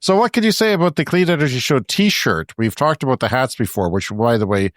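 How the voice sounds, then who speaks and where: A man co-hosting a podcast in casual chat, talkative and quick to laugh. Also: mid-range voice, lively intonation, no room sound, clean studio sound. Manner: chatty, laughs often between remarks. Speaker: podcast co-host. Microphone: remote podcast call.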